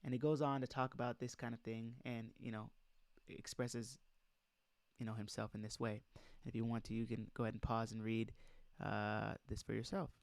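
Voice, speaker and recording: A man's voice: mid-range voice, level tone, normal speed, vocal fry, no echo. A man reading aloud in an informal lecture style, speaking emphatically and putting questions straight to his listeners. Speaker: presenter reading a document aloud and commenting.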